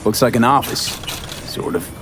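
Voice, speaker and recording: A man's voice: mid-range voice, moderate pace, noisy background, natural voice. A man playing a tough cyberpunk mercenary in a video game, asking questions in a clipped, probing way. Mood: grim, thoughtful.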